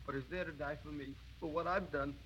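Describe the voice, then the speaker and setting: An actor playing a guilt-ridden, overwrought young lieutenant in a 1930s radio drama, pleading in anguish. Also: male voice, on the high side, steady tone, fast, fairly bright tone, slight background noise, close to an everyday voice, poor audio. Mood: anguished prayer. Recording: vintage 1930s radio broadcast recording.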